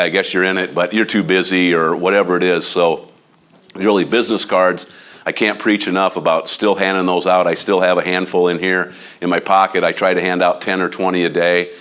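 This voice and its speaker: A man with a Midwestern American accent giving a casual training talk to real estate agents, loud, blunt and a bit overbearing. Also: low-pitched. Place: office meeting room.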